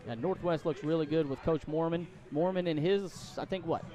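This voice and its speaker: High-pitched man calling football play-by-play. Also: moderate pace, dark tone, soft background music. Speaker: play-by-play announcer. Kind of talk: live high school football play-by-play commentary.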